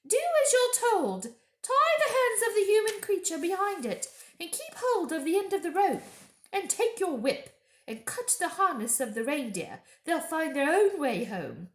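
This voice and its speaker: Cruel witch queen for a storybook: high, scornful, commanding, in an echoey room. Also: very animated, measured pace, full character voice. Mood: imperious scornful. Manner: commanding, scornful, shrill shouting. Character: cruel imperious witch queen barking orders. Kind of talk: storybook character voice in read-aloud.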